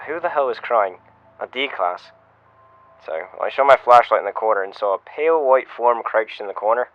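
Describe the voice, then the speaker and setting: A man playing a shaken security officer, giving a measured first-person incident report as a scripted audio log. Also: on the low side, clear, resonant tone, no room sound, natural voice. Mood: tense, unsettled. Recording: muffled lo-fi radio-style sound, music behind. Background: music.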